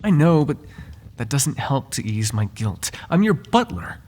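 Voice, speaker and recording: A man's voice: mid-range voice, sing-song, unhurried, darkish tone, slightly rough. A man playing a devoted, flirtatious butler in an intimate ASMR roleplay, speaking in formal, genteel phrases with playful teasing. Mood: concerned, guilty. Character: devoted, flirtatious, gossip-loving male butler to a noble.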